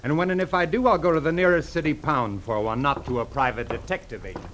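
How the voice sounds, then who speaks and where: A man's voice: fairly high pitch, fast, lightly acted. An actor playing a haughty, dismissive man in 1940s radio drama, cool and sarcastic. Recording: very noisy old radio recording.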